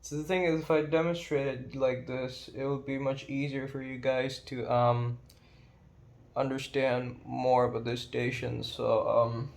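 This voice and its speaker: A man's voice: medium pitch, little pitch movement, a little slow, vocal fry. A man narrating an informal explainer video as its creator.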